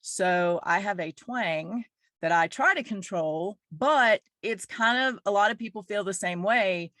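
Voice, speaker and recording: A woman's voice: medium pitch, measured pace, a bit bright, no echo, studio quality. A woman with a Southern American accent, hosting a podcast interview in an upbeat, enthusiastic way. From Virginia and North Carolina, US. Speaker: podcast host. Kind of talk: casual interview chat.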